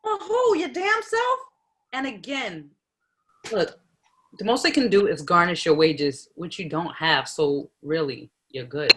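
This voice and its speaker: A woman's voice: lowish voice, expressive, measured pace, pushed and bright, slight background noise. A woman playing a blunt, level-headed, easily exasperated lawyer friend in a comedy table read. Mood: blunt, teasing. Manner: blunt, matter-of-fact.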